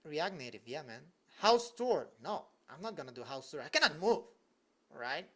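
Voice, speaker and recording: A man's voice: a little high, sing-song, moderate pace. A young man from Venezuela, casually answering live chat questions from his bedroom desk in a loose, joking way, full of "like". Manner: loose and joking, heavy use of "like". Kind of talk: casual livestream Q&A.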